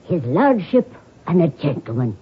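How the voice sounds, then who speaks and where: A woman's voice: low voice, big pitch swings, moderate pace, breathy edge, exaggerated voice. An actress playing a devoted, plain-spoken, motherly maidservant in a radio drama. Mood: affectionate. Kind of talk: old-time radio drama acting. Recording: narrow-band old radio broadcast.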